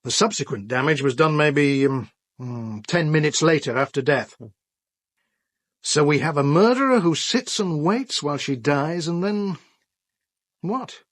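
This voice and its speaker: A brisk, sardonic detective in a radio drama. His voice is fairly high for a man and rough-edged, with a wry, questioning delivery in a dry recording. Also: animated, no room sound. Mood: wry, analytical. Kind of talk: full-cast radio drama dialogue.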